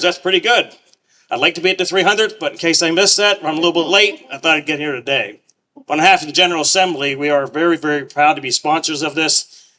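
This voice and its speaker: Quick, bright-voiced folksy man. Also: a little high, poor audio. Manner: folksy, upbeat, joking. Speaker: state legislator. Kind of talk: public speech at outdoor ceremony. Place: outdoors at a courthouse.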